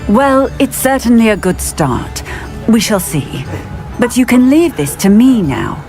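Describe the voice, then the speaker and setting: A woman's voice: a little high, very animated, unhurried, darkish tone, soft background music. A woman playing a protective, authoritative wizard police officer in a video game, with formal speech. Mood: admonishing.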